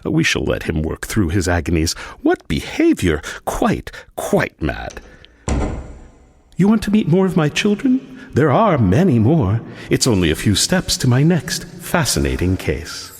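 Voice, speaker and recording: An actor playing a smug, eccentric asylum doctor in a gothic radio drama, genteel and theatrical. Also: male voice, medium pitch, huge pitch swings, measured pace, noisy background. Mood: cruel amusement. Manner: theatrical, genteel, gleefully pompous. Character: eccentric, smug asylum doctor showing off his mad patients.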